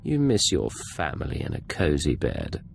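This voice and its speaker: A man's voice: rumbling low, huge pitch swings, moderate pace, gravelly, noisy background, exaggerated voice. A man playing an unsentimental, sardonic secret agent in a video game, with a dry, mocking delivery. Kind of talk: scripted video game acting.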